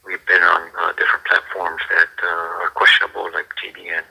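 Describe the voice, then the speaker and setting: A man answering questions on a phone call as a ministry staffer, explaining in a halting, casual way. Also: low-pitched, completely flat delivery, vocal fry, sharp tone, slightly rough.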